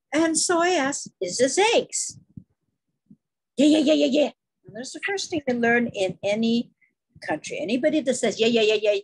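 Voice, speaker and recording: Woman, gravelly and husky, lively pitch, impromptu comic storyteller. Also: no room sound, rough recording. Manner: chatty, storytelling with asides. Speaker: table topics speaker. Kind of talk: impromptu humorous speech. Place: online club meeting.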